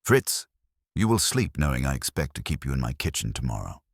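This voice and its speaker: A male narrator plays a big, pedantic detective genius with a very deep voice. He speaks in pompous, formal commands with a lively rise and fall, as in a mystery audiobook. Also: brisk, creaky, dry recording, studio quality, full character voice. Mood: imperious.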